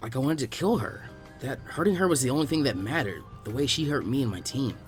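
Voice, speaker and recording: A man's voice: mid-range voice, lively intonation, fast talker, lightly acted. Teenage ninja boy played by a male reader: blunt, sarcastic, hot-tempered storybook hero, faint music underneath. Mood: subdued. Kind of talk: acted storybook dialogue.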